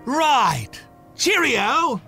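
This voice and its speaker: A woman's voice: high-pitched, very animated, drawn-out words, sharp tone, faint music behind. A woman singing a playful, sing-song radio station jingle.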